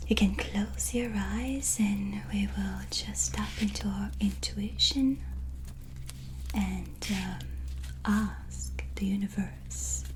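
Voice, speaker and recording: Slow, whispery woman in soft-spoken ASMR. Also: medium pitch, a little hiss or hum, low-quality recording. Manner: slow, gentle, soothing, with pauses. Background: soft relaxing music.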